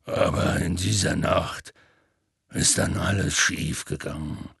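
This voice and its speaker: A man voicing a big, rough, weary half-giant who tells his tale in a plainspoken, rambling, colloquial way, husky and gravelly, for an audiobook. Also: sits low, steady tone, slow, a bit bright, no echo, studio quality, full character voice. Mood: rueful. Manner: colloquial, rambling, matter-of-fact storytelling. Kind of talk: audiobook character dialogue.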